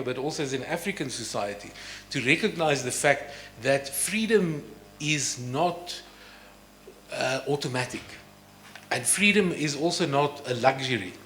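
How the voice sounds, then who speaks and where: A man speaking on a panel in a measured, analytical way. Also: mid-range voice, fairly bright tone, slight background noise. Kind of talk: panel discussion. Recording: echoey room.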